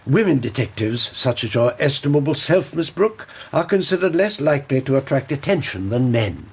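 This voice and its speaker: An actor playing a brisk, good-humoured detective agency head in a radio drama. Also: male voice, medium pitch, sing-song, darkish tone, husky. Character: Victorian detective agency head, middle-aged man.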